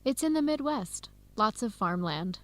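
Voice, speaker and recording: A friendly young American woman student in an educational radio drama. Her voice sits slightly high, and she talks in an easy, conversational way with an American accent. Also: lively intonation, a little slow, a bit bright. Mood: open, easygoing. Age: young adult.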